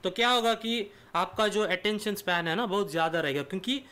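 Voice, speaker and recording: A man co-hosting a casual podcast chat, speaking halting Hindi laced with English words and many "you know" fillers. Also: sits high, pushed and bright, clean tone. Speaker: podcast co-host.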